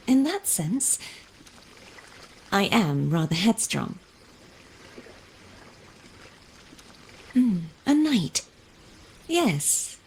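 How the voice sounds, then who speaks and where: Husky, resolute young maiden-knight. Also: female voice, a little high, a little mellow, full character voice. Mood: thoughtful, gentle. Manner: formal, measured, solemn phrasing. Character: earnest, resolute young maiden turned knight errant, gently formal. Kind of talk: video game voice acting.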